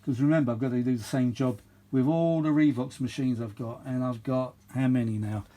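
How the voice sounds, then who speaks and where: A man's voice: medium pitch, steady tone, brisk, mellow. A middle-aged or older man giving casual, chatty repair commentary, rambling and thinking aloud as he works. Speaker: vintage audio repair vlogger.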